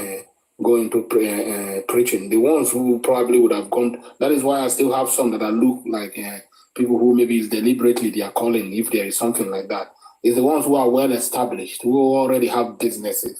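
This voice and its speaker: Nigerian man, mellow voice, halting delivery. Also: mid-range voice, rough recording. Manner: frequent hesitations and repeated words. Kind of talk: opinionated commentary.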